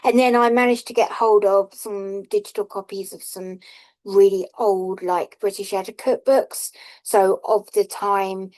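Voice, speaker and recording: Halting woman on a video call. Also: mid-range voice, steady tone, darkish tone. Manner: hesitant, many fillers, self-deprecating laughs. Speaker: workshop participant. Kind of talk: casual chat in an online workshop.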